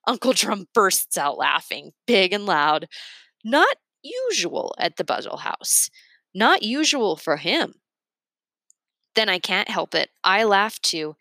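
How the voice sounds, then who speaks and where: A woman narrating a storybook aloud in an expressive read-aloud style. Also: mid-range voice, slow pace, creaky, no room sound, clean studio sound.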